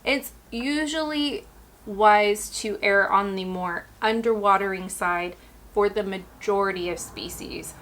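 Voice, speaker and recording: A woman hosting a houseplant care video, explaining things in a chatty, relaxed way while losing her voice. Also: mid-range voice, unhurried. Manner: chatty, relaxed, and explanatory, addressing viewers directly. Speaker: houseplant care channel host. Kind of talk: casual instructional talk.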